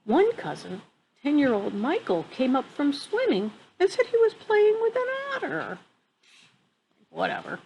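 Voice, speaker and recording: A woman in her fifties reads a picture book aloud in an expressive way, pausing for casual asides about the pictures. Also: high-pitched, poor audio. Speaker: storyteller reading a picture book to children. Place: outdoor wooden deck among trees. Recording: clear background noise, outdoor ambience.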